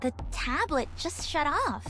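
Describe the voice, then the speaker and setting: A woman's voice: high voice, big pitch swings, a little slow, pushed and bright, soft background music, full character voice. A woman voicing an inquisitive, scholarly young female analyst in a video game, musing and precise. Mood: curious, musing.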